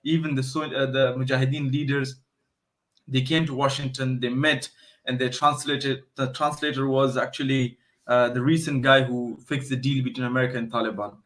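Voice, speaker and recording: A man's voice: medium pitch, flat delivery, normal speed, fairly bright tone. A man from Afghanistan with an Afghan accent, speaking as an expert guest in a conversational interview, explaining and telling stories with emphatic repetition.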